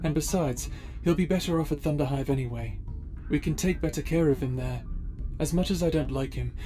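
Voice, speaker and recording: Guy voicing a brooding space pirate officer, talks formal and measured, sounds weighed down by guilt, music playing behind. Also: fairly high pitch, dark tone, natural voice. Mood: firm, reserved. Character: guilt-ridden, stern male space pirate crew officer. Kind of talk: audio roleplay drama acting. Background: background music.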